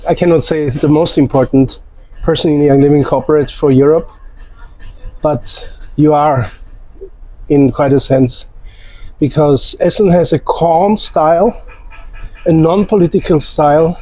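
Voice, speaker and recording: A man interviewing a guest, asking questions in a deliberate, unhurried way. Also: fairly high pitch, steady tone, dark tone, rough recording. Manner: deliberate, unhurried, fond of farming imagery.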